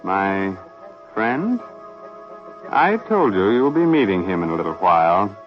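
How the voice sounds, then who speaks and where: A man's voice: sits low, big pitch swings, measured pace. A man hosting and narrating a 1940s radio horror show in a dramatic, sardonic, theatrical manner. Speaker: radio mystery host and narrator. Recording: muffled 1940s radio recording. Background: music.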